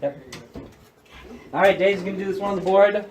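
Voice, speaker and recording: A man's voice: sits high, steady tone, fairly quick, a little hiss or hum. A male math teacher walking a class through problems step by step. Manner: plain, coaching students step by step. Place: high school classroom. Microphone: room mic from across the room. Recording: echoey classroom, mic far off.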